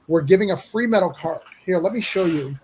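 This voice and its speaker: A man with a husky, gravelly voice hosting a casual livestream, talking informally and in halting pieces. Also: high-pitched, expressive, a little mellow, a little hiss or hum, rough recording. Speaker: livestream host and comic project organiser. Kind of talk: casual livestream announcement and chat.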